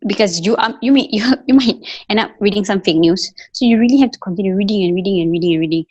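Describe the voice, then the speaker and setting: A woman's voice: mid-range voice, quick pace, darkish tone, husky, no room sound, dull audio. A woman from Singapore with a Singaporean English accent that slips into Malay words, speaking earnestly as an interview guest and often restarting her sentences. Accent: Singaporean English with Malay code-switching. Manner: earnest, with frequent restarts and fillers. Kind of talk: casual conversation.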